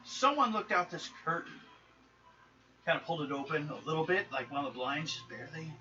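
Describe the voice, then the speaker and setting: A man running a tabletop game as game master, narrating and chatting casually in a halting, rambling way. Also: on the high side, animated, far from the mic. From United States. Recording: dull lo-fi live recording with hiss.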